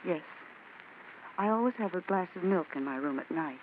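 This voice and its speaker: A woman's voice: on the low side, expressive, normal speed, lightly acted. An actress playing a frightened young woman seeking a detective's help, earnest and anxious, in 1940s radio acting style. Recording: tinny old radio recording, faint hiss.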